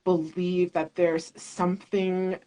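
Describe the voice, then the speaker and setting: Low-voiced woman guest, thoughtful. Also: level tone, slow, mellow, poor audio. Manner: searching, many fillers and restarts, thoughtful. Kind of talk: reflective conversation.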